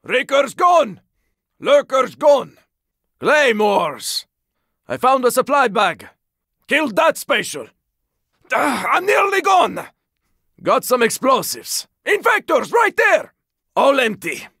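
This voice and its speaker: A battle-weary man fighting through a zombie apocalypse in a video game. His voice is pitched very high for a man and is sharp and tense, with a rough rasp. He throws out short, forceful callouts in a close, dry recording. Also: big pitch swings, slow pace, long pauses, no echo, full character voice. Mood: urgent, battle-ready. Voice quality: raspy, gravelly. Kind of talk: video game combat barks.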